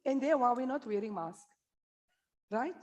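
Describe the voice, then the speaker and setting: A South African woman giving a conference talk into a microphone, her voice sitting a touch high. She speaks bluntly, with dry humour, and talks directly to the people in the room. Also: expressive, unhurried, a little mellow. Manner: blunt, humorous, addresses the audience directly. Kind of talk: candid conference presentation.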